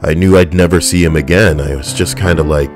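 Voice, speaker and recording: A young man with a very low voice recounts a personal story in a blunt, conversational way, swearing freely. Soft background music plays faintly under his voice. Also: steady tone, brisk. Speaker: veteran storyteller.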